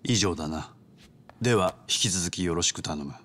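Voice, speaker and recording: A man's voice: low-pitched, a bit bright. A man voicing a polite, calculating yakuza underboss in a video game, speaking formally and with cool composure. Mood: composed, deferential. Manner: formal, courteous, measured business-like speech. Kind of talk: dramatic video game voice acting.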